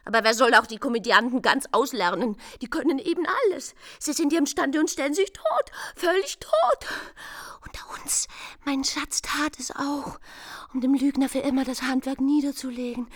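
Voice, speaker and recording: A woman's voice: high voice, normal speed, fairly bright tone, dry recording, studio quality. An actress playing a fragile, deluded young bride-to-be in a German radio play, confiding her secrets with feverish earnestness. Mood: agitated remorse, then conspiratorial.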